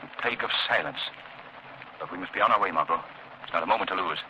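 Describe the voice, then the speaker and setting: An actor in a 1930s radio drama playing a resolute gentleman detective, urgent and commanding. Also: low-pitched, fast talker. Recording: muffled, noisy 1930s radio recording.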